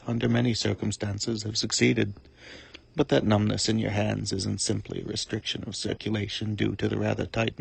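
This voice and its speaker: A man playing a cold, calculating supervillain in a dramatic monologue, speaking with formal, deliberate, self-important eloquence and menacing calm in a gravelly, husky voice. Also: fairly low pitch, heavily acted.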